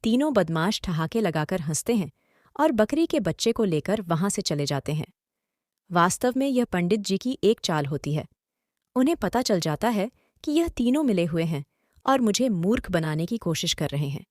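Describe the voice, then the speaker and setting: A woman's voice: mid-range voice, big pitch swings, moderate pace, a little mellow, dry recording, clean studio sound. A woman narrating a scripted story as host and storyteller.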